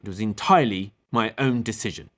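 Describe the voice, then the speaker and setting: A man playing an authoritative, defensive captain giving evidence, speaking formally, deliberately and firmly in a table read of a courtroom play. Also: fairly low pitch, a bit bright, lightly acted.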